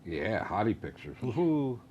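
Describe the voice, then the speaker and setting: A man's voice: lowish voice, sing-song, unhurried, a little mellow, lo-fi sound, slight background noise. A man hosting a casual panel show, teasing and joking with his co-hosts. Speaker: show host.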